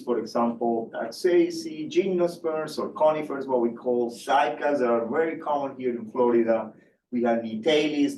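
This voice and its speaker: A man's voice: medium pitch, a little mellow, roomy sound, recorded from a distance, a little hiss or hum, poor audio. A man from Colombia giving a university seminar lecture, hesitant with many fillers and restarts. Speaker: guest lecturer. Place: lecture room.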